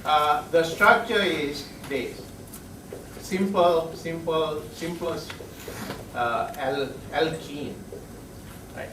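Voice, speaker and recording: A male chemistry professor, lecturing a class in a halting way, with many pauses and frequent checks of "right?". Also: on the high side, fairly bright tone, far from the mic, a little hiss or hum. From Sri Lanka. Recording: echoey classroom heard from afar.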